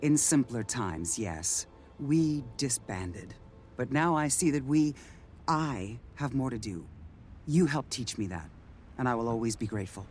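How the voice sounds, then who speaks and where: An actor playing a noble veteran male hero in a fantasy video game, earnest and measured. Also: mid-range voice, animated, darkish tone, soft background music, close to an everyday voice. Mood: solemn, earnest. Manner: measured, solemn.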